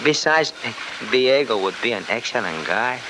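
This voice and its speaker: A male actor voices a sly Mexican man on the run, ingratiating and wheedling, with a Mexican accent and a medium-pitched voice. It is vintage radio drama, heard through clear background noise. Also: expressive, normal speed, natural voice. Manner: speaks of himself in third person, wheedling. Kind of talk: 1940s radio acting. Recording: noisy 1949 radio transcription.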